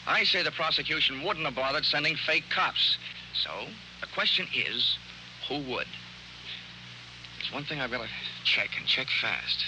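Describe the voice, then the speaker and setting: Sardonic hard-boiled detective, swinging intonation. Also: male voice, on the high side, expressive, a little slow, bright, forceful tone, full character voice. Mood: reasoning, urgent. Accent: American. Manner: sardonic, punchy, slangy delivery. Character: wisecracking, hard-boiled male private investigator. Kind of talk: 1940s American radio detective drama acting. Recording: noisy, hissy 1940s radio recording.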